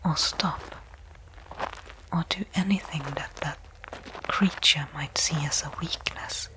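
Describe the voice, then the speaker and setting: Casting: woman acting as a tense stranded astronaut for ASMR sci-fi roleplay; low, breathy whisper, deliberate delivery. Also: poor audio. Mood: hushed, tense. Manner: slow, hushed, deliberate phrasing with pauses. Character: tense woman space explorer stranded on alien planet. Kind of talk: whispered ASMR audio roleplay, first-person monologue.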